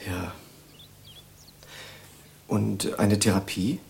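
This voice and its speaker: An actor playing an earnest, inquisitive young writer in an audio drama, speaking in a hesitant, deliberate way. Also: male voice, lowish voice, fairly even intonation, gravelly, a little mellow, husky, heavily acted. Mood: inquisitive, tentative. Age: young adult.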